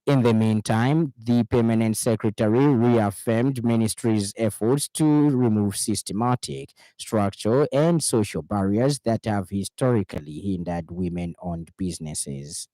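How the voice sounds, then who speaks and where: A man with a Zambian accent reading a scripted radio news bulletin in a formal, measured way. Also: lowish voice, dark tone, no room sound, rough recording. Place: radio studio.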